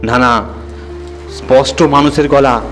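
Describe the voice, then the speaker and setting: A man's voice: medium pitch, fairly even intonation, takes it slowly, a bit bright. A man voicing an anxious, impatient householder who is frightened of robbers. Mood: fearful, impatient. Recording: long reverb, background music.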